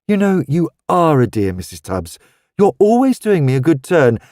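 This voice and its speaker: A man reading the part of a level-headed young woman artist, well-spoken and brisk, friendly yet blunt. The delivery stays lively in pitch and sits dry and close, as in a storybook reading. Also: no echo. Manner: polite, brisk, frank.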